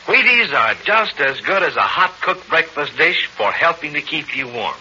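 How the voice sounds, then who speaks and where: A man's voice: fairly high pitch, big pitch swings, moderate pace, pushed and bright, breathy edge, full character voice. An American man announcing on 1940s radio with a projected, hearty, emphatic scripted delivery. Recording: muffled noisy 1940s radio broadcast.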